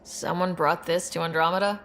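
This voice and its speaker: A low, creaky-voiced young explorer. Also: female voice, fairly even intonation, a little fast, a bit bright, a little hiss or hum. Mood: guarded. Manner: plain, earnest, understated. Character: young, new, resolute human explorer leader, female. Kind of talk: video game voice acting.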